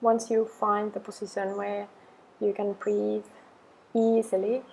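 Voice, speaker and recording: A woman guides an affirmation meditation in a mid-pitched voice that stays very even. She places each phrase slowly and deliberately, leaving long pauses. A faint layer of ambient noise sits behind her. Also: gravelly, rough recording.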